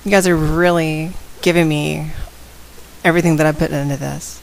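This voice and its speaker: A woman with a slight head cold and a raspy voice, talking casually and outspokenly straight to her viewers in a vlog. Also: low voice, steady tone, moderate pace, slight background noise, low-quality recording. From San Antonio, Texas. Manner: outspoken, direct, addresses viewers. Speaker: vlogger. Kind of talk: casual talk to camera.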